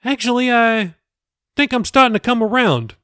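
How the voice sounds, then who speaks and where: A man voicing a kindly middle-aged pastor, chatty and gently teasing, with a hesitant, folksy manner in an audiobook reading. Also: very high-pitched, lively intonation, moderate pace, clean tone, dry recording, studio quality, voice pushed up, full character voice. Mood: affable.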